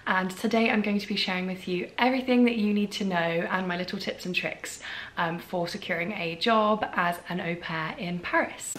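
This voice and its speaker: A woman's voice: medium pitch, moderate pace, fairly bright tone, echoey room. A British woman in her twenties giving chatty, friendly advice to the camera in a casual vlog. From England. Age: 20s. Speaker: vlogger.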